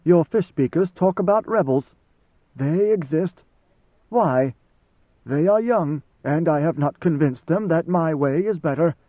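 Man voicing ancient god-emperor, weary, solemn, slow, raised pitch, thin phone-like sound. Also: lively intonation, dry recording. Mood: brooding.

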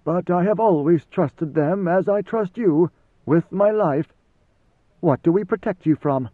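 A man's voice: high-pitched, unhurried, pitched above the performer's own voice. A man voicing a proud male warrior who is blunt, wary and full of challenging questions, in an audiobook reading. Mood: earnest, questioning. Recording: slightly roomy, phone-like narrow sound.

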